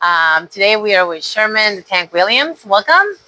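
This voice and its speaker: A podcast host interviewing a guest at a boxing gym, asking short questions and adding soft "mm-hmm" backchannels. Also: medium pitch, big pitch swings, normal speed, slight background noise.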